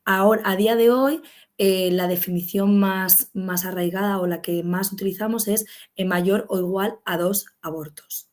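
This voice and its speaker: A woman's voice: mid-range voice, fairly even intonation, normal speed, darkish tone. A woman gynecologist from Spain gives an online medical talk over a video call. She speaks conversationally, with many fillers and tag questions, in an ordinary mid-range voice. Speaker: gynecologist and clinic medical director.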